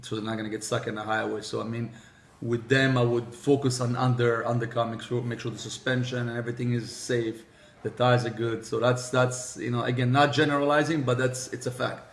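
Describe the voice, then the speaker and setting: A man's voice: mid-range voice, level tone, a little fast. A man from Israel whose first language is Hebrew, answering interview questions as a car sales manager, hesitant and full of restarts and fillers.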